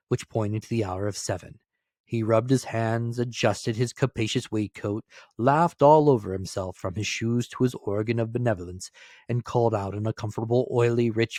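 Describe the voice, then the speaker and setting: A man with a fairly low voice narrates an old storybook tale dramatically. The recording is close and dry, with very little room sound. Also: darkish tone, no room sound.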